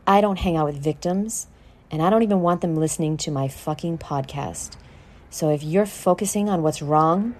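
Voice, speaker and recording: A woman with a somewhat low voice delivers a motivational podcast monologue. She is blunt and brash, swears freely and addresses the listener directly. Key words get stretched out for dramatic emphasis in an otherwise informal delivery. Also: a little mellow. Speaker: podcast host. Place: at home in a condo.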